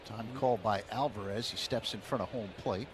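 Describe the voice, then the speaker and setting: A man doing radio play-by-play of a baseball game, measured and rising in energy on big plays. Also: fairly low pitch, a little hiss or hum. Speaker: play-by-play announcer.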